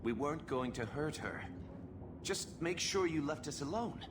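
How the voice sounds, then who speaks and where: An actor playing an earnest, idealistic templar conspirator in a fantasy game, pleading and sincere. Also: male voice, a little high, lively intonation, normal speed, background noise, close to an everyday voice. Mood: urgent, pleading. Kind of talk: dramatic video game acting.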